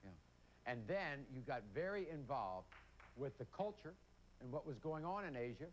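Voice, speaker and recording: A man's voice: mid-range voice, expressive, moderate pace. Man, talk show host, teasing questions, noisy old broadcast sound. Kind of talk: daytime talk show interviewing. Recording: noisy old TV studio audio.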